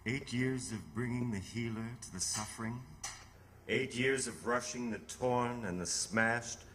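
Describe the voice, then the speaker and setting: An actor playing a solemn, ageless, otherworldly mentor in old-time radio theatre, speaking in grave, measured, formal phrases. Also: male voice, mid-range voice, a bit bright, close to an everyday voice. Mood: preaching.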